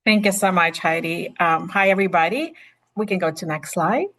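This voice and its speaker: A woman's voice: fairly low pitch, normal speed, a bit bright. A middle-aged woman from Ukraine with a Ukrainian accent, a nurse practitioner giving a webinar talk in a chatty way full of fillers and restarts, heard over a video call. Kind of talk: webinar presentation.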